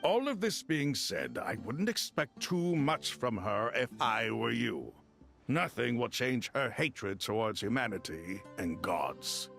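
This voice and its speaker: A man playing a solemn, learned desert god in a video game, speaking formally in a gravelly, measured way. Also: medium pitch, lively intonation, fairly bright tone, faint music behind, heavily acted. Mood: wry, measured. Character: solemn, learned male desert god loyal to his king.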